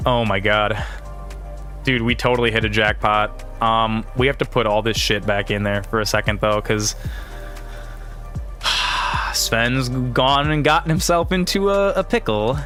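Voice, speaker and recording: A man's voice: lowish voice, steady tone, measured pace, bright, forceful tone, faint music behind. A man in his thirties giving casual, rambling gameplay commentary in slangy, exclaiming speech. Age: 30s. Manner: rambling, slangy, often says "dude". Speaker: gaming video creator and streamer.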